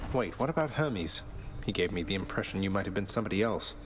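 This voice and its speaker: Underworld prince in a game, low voice, dry n polite, faint music. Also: male voice, animated, quick pace. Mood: wry, earnest. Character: young rebellious underworld prince, wry and kindly. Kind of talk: video game character dialogue.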